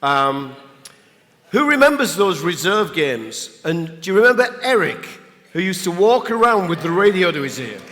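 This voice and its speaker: A man's voice: high-pitched, fairly bright tone. A man hosting a live stage event, upbeat and rallying the crowd, losing his voice. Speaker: event host. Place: large venue with live audience. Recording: reverberant hall with crowd noise.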